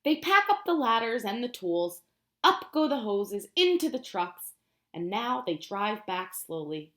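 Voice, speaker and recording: A woman teacher reading a picture book aloud to young children, explaining simply and prompting them with questions in an expressive way. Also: a little high, measured pace. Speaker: preschool teacher.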